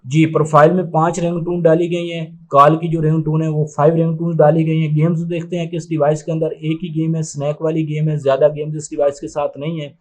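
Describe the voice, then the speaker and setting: Male product reviewer, slightly high voice, flat delivery, methodical and matter-of-fact. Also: fairly quick, few pauses. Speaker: tech reviewer presenting a phone unboxing. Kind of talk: unscripted step-by-step product demonstration.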